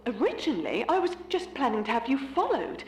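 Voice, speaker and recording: High, wildly swooping sinister circus mannequin. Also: female voice, very animated, fast, darkish tone. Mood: sweetly menacing. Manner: theatrical, sing-song, sweetly sinister. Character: gleefully menacing female circus-built killer mannequin, playfully polite. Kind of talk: audio horror drama acting. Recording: echoey, faintly noisy audio drama.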